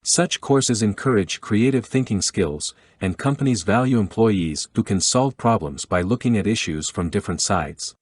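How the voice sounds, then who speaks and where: A man reading a scripted passage aloud in a measured, careful way. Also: lowish voice, animated, no room sound. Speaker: read-aloud model reader.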